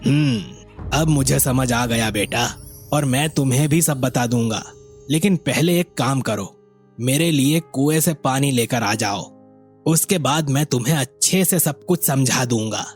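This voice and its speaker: Man doing a husky, wise old village elder. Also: medium pitch, faint music behind. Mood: patient. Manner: measured, admonishing. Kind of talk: acted moral story dialogue.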